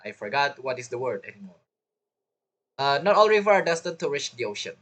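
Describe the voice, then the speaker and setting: A man's voice: medium pitch, big pitch swings, a little fast, a bit bright. A man giving casual gameplay commentary, musing in a rambling, informal way. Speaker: gaming video creator.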